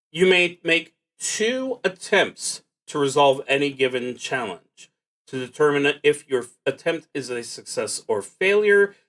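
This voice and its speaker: A man hosting a solo tabletop roleplaying stream, casually explaining rules and haltingly thinking aloud as he reads. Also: fairly high pitch, fairly bright tone.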